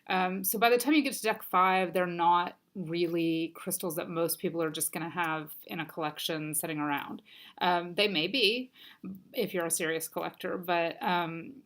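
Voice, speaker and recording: A woman with a somewhat low voice talks through a weekly crystal card reading in a gentle, unhurried way. Her voice sounds a bit stuffy and scratchy from allergies. She fills her pauses with soft ums. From United States. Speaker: crystal and oracle card reader. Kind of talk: casual spiritual card reading.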